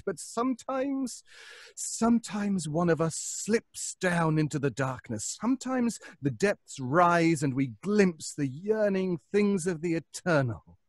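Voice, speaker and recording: A man's voice: high voice, no room sound, clean studio sound, heavily acted. An actor playing a haunted, sleepless, obsessive man, anxious and stammering, in old-time radio suspense style. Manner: halting, stammering, pleading, melodramatically poetic.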